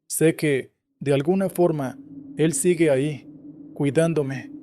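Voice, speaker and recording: Dark-voiced man narrating horror tales. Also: on the high side. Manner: steady, measured storytelling. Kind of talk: scripted first-person narration.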